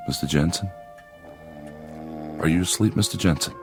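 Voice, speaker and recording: A man playing a rational psychiatrist in a drama, speaking in a deliberate, explanatory and emphatic way. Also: deep voice, music in the background. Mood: pensive, concerned. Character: measured, rational male psychiatrist reasoning with patient. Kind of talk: dramatic dialogue and first-person narration.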